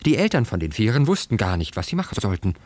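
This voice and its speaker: A man reading a children's story aloud in an expressive, scripted storytelling style. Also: on the low side, fast talker, no room sound. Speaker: story reader.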